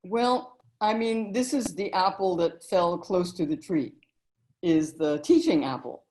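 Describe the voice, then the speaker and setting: A middle-aged woman talking in a relaxed interview, telling stories and memories as the guest. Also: lowish voice, measured pace. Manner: warm, storytelling reminiscence with frequent "you know". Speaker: guest, author and narrator.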